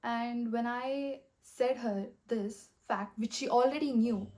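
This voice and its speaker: A young woman talking in a rambling, earnest way, full of fillers, with a soft voice that has a slight husky edge. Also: fairly high pitch, takes it slowly. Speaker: vlogger. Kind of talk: casual motivational monologue.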